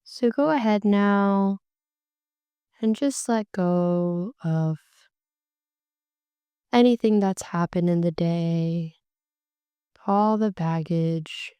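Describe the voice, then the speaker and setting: Slow, soothing woman guiding meditation. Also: fairly low pitch, smooth, dry recording. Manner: slow, soft and soothing, drawn-out phrases. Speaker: meditation guide. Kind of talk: guided meditation.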